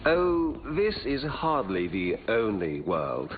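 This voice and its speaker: An actor playing a haughty, sly Norse trickster god in a video game, with a theatrical, sneering delivery. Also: male voice, on the low side, wildly swooping pitch, slow, big-room sound, phone-quality sound, slight background noise, full character voice. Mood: sly, lofty. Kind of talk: video game villain voice lines.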